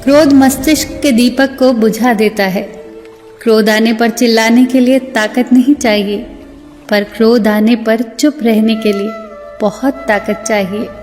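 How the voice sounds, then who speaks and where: A woman narrator reciting scripted motivational sayings in a measured, deliberate way. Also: on the high side, fairly even intonation, darkish tone. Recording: long hall reverb, music bed. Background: music.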